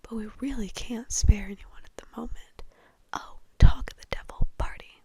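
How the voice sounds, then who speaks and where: Jovial carefree wizard man read by a woman: breezy, chummy, whispered and mellow storybook delivery. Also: a little high, steady tone, normal speed, dry recording. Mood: innocent. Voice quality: breathy, whispered. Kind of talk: whispered storybook character reading.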